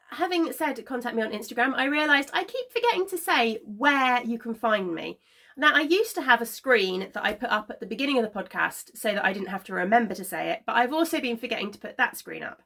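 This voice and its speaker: A woman chats to camera from her living-room sofa, sounding slightly congested from a cold. Her voice sits a bit high, and she talks in a relaxed, rambling way, leaning on frequent ums and small laughs. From Scotland. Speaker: knitting podcast host. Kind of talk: casual chat to camera.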